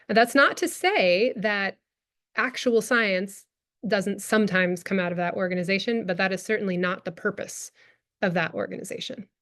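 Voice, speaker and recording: A woman's voice: medium pitch, vocal fry, a bit bright. An American woman in her thirties, an anthropologist answering audience questions at a talk, with many fillers, restarts and laughs. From United States. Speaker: guest speaker, anthropologist. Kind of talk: lecture Q&A answer.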